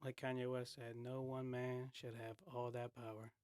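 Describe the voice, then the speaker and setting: A man's voice: mid-range voice, flat delivery, moderate pace, darkish tone, no room sound. A man from the Bay Area with an American accent, chatting casually as a podcast guest in short, slangy, laid-back replies. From San Francisco Bay Area, USA. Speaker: podcast guest, hip-hop artist. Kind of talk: casual chat.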